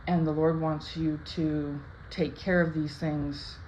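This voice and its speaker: Chatty low-voiced woman, slightly raspy. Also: flat delivery, slow, dark tone. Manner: chatty, rambling, many "like" and "right?" tags. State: slightly raspy from just waking up. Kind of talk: casual spoken dream interpretation to camera. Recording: dull lo-fi sound with faint background hiss.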